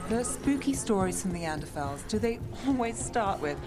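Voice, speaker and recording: A woman's voice: mid-range voice, big pitch swings, normal speed, over music, natural voice. A woman voicing a wry, curious adventurer in a fantasy video game. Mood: wry, amused.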